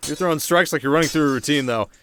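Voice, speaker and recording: A man's voice: on the high side, very fast, a bit bright, soft background music, natural voice. A man voicing a cheeky, laid-back warrior in an audio drama, flippant and teasing with casual slang. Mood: mocking. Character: cheeky, laid-back young warrior, loyal and food-loving. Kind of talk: ASMR roleplay audio drama acting.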